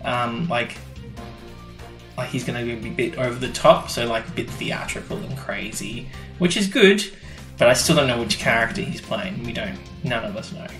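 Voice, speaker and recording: A man's voice: mid-range voice, moderate pace, a bit bright, soft background music. Man podcasting from an echoey room. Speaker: podcast co-host. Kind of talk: casual chat.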